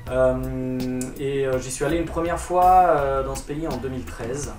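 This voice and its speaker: A man's voice: medium pitch, a little slow, darkish tone, distant mic. A man who sings reggae, talking loosely in an interview with many fillers and restarts. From France. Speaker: reggae singer. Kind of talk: relaxed interview chat. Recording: roomy, off-mic, music behind.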